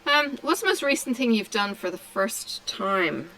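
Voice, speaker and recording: A middle-aged woman from Tasmania chatting casually while she crafts, answering questions slowly with many ums and pauses. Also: medium pitch, lively intonation, bright, forceful tone, low-quality recording. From Australia (Tasmania). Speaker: craft vlogger. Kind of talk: relaxed chat while crafting.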